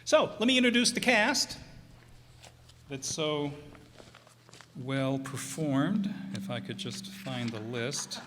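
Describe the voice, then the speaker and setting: A man hosting a live show, informally addressing the audience in a halting, unscripted way. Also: mid-range voice, lively intonation, sharp tone. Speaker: host introducing the cast. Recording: echoey hall, audience, background noise.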